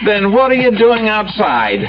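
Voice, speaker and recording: Grumbling husband with swooping voice. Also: male voice, high voice, very animated, a little slow, noisy background. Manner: complaining, exasperated. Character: grumbling, fed-up husband on holiday. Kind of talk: radio drama acting. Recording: muffled old radio broadcast, background voices.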